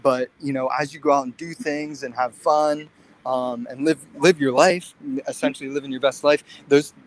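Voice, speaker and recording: A man's voice: medium pitch, steady tone, moderate pace, a little hiss or hum, low-quality recording. A man in his late twenties talking casually and earnestly in a livestream conversation. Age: late 20s. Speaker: fitness guest.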